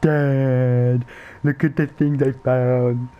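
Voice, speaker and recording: A woman's voice: extremely low pitch, flat delivery, very slow, muffled recording, noisy background. A woman giving casual, offhand gameplay commentary in short dry reactions. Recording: game music behind the voice.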